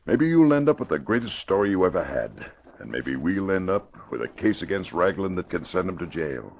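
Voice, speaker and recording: An actor playing a grave, concerned police commissioner in an old-time radio crime drama, with a husky voice on a muffled recording. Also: male voice, sits low, big pitch swings, brisk, a little hiss or hum, heavily acted. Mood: resolved.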